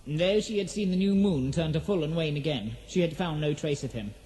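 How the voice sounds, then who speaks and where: A man's voice: a little high, fairly quick, warm tone, low-quality recording. A man narrating a fantasy audio drama in a solemn, theatrical storytelling manner.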